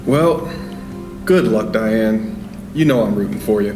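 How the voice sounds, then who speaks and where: A man's voice: lowish voice, expressive, slow pace. A man acting a supportive, easygoing friend in a radio play. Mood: sympathetic. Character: supportive, easygoing male friend of a struggling mother. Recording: roomy, guitar music underneath.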